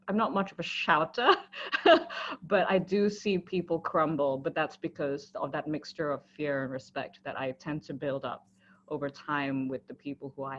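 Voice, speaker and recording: Soft-spoken woman on dull call audio. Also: sits low, slight background noise. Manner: soft-spoken, calm, with light laughs. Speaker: professional dominatrix panelist. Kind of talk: casual panel answer.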